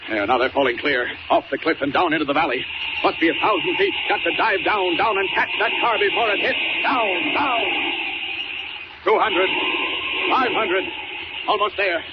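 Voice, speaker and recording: An actor playing a decisive superhero in a 1940s radio serial, declaiming in short urgent bursts through a very noisy recording. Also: male voice, high-pitched, moderate pace, dull audio, over loud music, natural voice. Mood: urgent, triumphant. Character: decisive, powerful male superhero in action.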